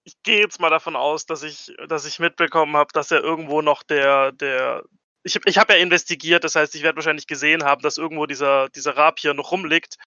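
A man's voice: on the high side, steady tone, quick pace, sharp tone, no echo, lo-fi sound. A man playing a tabletop role-playing game, casually thinking aloud about what his character does.

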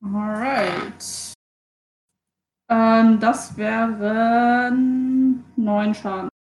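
Higher-pitched woman player in a roomy echo. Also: flat delivery, drawn-out words, darkish tone. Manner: mixes English phrases into her talk. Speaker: player in a tabletop role-playing game. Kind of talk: casual game-table chat, describing her character's moves. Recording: echoey, roomy sound.

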